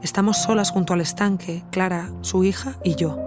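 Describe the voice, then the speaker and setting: An actress with a Spanish accent from Spain playing an earnest, reflective woman investigator who narrates her audio documentary in a measured, intimate way. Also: fairly low pitch, steady tone, music in the background, close to an everyday voice. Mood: reflective, absorbed. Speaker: podcast narrator and protagonist. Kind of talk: intimate scripted narration and natural dialogue in an audio drama.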